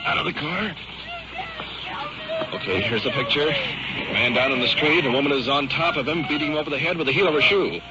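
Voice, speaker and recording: A man's voice: a little high, expressive, a little fast, pauses often. A man working as a police recorder, narrating live as an on-the-scene radio host in an old field recording. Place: in and around a police car on patrol. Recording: muffled vintage 1950s radio field recording, heavy noise.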